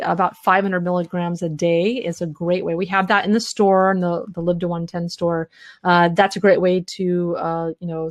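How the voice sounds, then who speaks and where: A woman's voice: fairly low pitch, no room sound. Tired woman fielding health questions. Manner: talks fast in long run-on answers with many fillers. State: tired, losing her voice. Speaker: health educator hosting a webinar Q&A. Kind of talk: live Q&A, answering listener questions.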